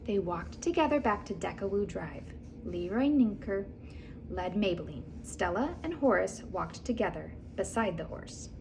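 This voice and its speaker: A woman reading a children's story aloud in an expressive, animated storytelling manner. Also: medium pitch, moderate pace, vocal fry, noisy background. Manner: animated, acts out dialogue and animal sounds. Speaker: children's book reader. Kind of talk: expressive read-aloud storytelling with casual asides.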